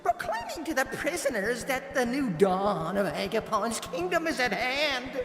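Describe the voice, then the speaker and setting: A man's voice: very high-pitched, wildly swooping pitch, measured pace, a bit bright, full character voice. A man playing a nervous, fawning henchman who stammers as he serves a villain in an audio drama. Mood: servile, anxious. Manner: stammering, jittery, servile. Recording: echoey hall, music behind.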